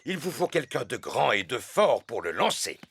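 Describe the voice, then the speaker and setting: A man's voice: mid-range voice, sing-song, normal speed, gravelly, a bit bright, slightly rough, exaggerated voice. A man voicing a jolly, hearty father pig in a cartoon.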